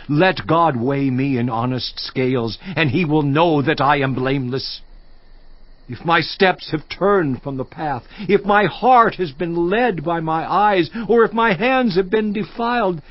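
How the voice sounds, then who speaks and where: A man's voice: on the high side, dry recording, highs cut off. An actor in a dramatized audio Bible playing an anguished, righteous man who solemnly protests his innocence to God. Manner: slow, solemn, impassioned declamation.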